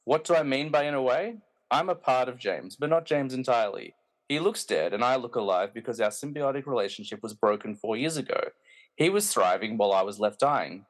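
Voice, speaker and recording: A man's voice: medium pitch, lightly acted. Man playing a pompous, bitter villainous film snob. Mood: smug, menacing. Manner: pompous, ranting, self-important. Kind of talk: hobby podcast radio play acting.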